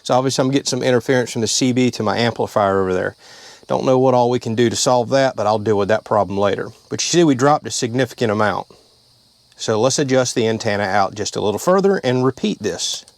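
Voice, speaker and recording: A man with a drawl walks through a hands-on job step by step, speaking plainly and at an easy pace. His voice sits at an ordinary middle pitch, and the sound is that of someone talking inside a vehicle. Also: fast talker, dry recording. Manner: plain-spoken, step-by-step explaining. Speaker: channel host. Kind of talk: casual how-to vlog.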